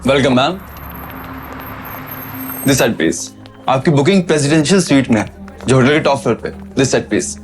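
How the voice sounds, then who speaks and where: An actor playing a polite, formal hotel attendant in an audio drama, speaking deferentially. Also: male voice, mid-range voice, brisk, long pauses, soft background music, natural voice. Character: courteous, formal hotel attendant guiding a guest. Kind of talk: Hindi audio drama acting.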